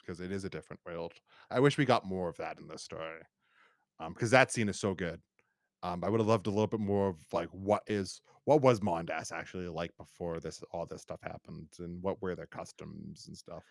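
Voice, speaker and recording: A man's voice: low voice, creaky, no room sound, clean studio sound. A man hosting a podcast, talking casually in a rambling, opinionated and emphatic way as he reviews. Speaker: podcast host.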